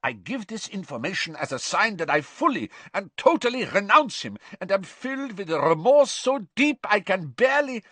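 A man's voice: sing-song, sharp tone, no room sound, heavily acted. A man voicing a scared, oily male prisoner. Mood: pleading. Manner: high, hurried, breathless and unctuous. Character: frightened fawning male prisoner, middle-aged, pleading.

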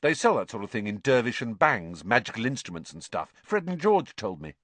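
A man voicing an excitable, blunt teenage schoolboy wizard in a storybook reading, with eager, dramatic delivery. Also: medium pitch, fairly quick, dry recording. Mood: off-hand.

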